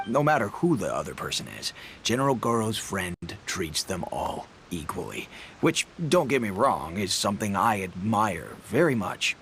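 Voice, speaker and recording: An actor playing an easygoing, tactful army captain in a video game dub, giving measured, knowing advice. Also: male voice, fairly low pitch, a bit bright, slight background noise. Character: tactful, easygoing older soldier, male captain. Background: faint music.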